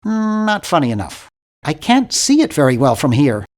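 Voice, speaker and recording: A bookish young nerd from an adventure game, timid and polite. His nasal voice swings widely up and down in pitch, and he sounds awkward, fussy and earnest in every line. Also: wildly swooping pitch, moderate pace, a little mellow. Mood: awkward, friendly. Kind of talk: video game character voice lines.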